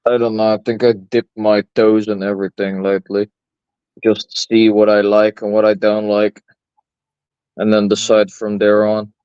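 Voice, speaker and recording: A man with a calming, smooth, low voice talks in a relaxed, thoughtful way. The sound is dry and a little dull, like a lo-fi mic. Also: moderate pace, no room sound. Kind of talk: casual chat. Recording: dull lo-fi audio.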